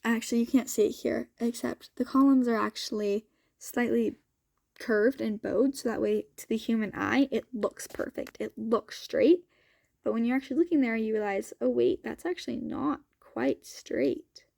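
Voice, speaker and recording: A woman's voice: on the high side, expressive, normal speed, gravelly, darkish tone, no room sound, studio quality. A young woman with a sniffly cold, chatting informally and thinking aloud as she presents a student vlog. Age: young adult. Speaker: student vlogger. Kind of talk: casual chatty vlog walkthrough.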